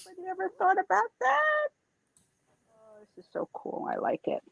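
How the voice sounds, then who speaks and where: A woman with an Israeli accent, softened by New York, talks through her crafting steps in a relaxed live demo. Her voice has a gravelly edge and sounds dry and close, with no room around it. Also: extremely high pitch, moderate pace, dry recording, lo-fi sound. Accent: Israeli with New York traces. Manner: chatty, narrates her steps. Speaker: craft demonstrator.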